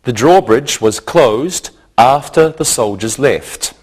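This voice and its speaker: A male teacher with a mid-pitched voice delivers a scripted grammar lesson. He speaks slowly and deliberately, breaking explanations into short, didactic phrases. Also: animated, darkish tone, slightly rough.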